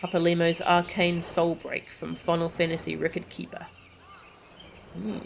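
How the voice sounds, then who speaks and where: A woman's voice: on the low side, monotone, moderate pace, gravelly, slight background noise. A woman livestreaming a video game, chatting and commenting as she plays. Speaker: game streamer. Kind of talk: livestream gameplay commentary, reading dialogue aloud.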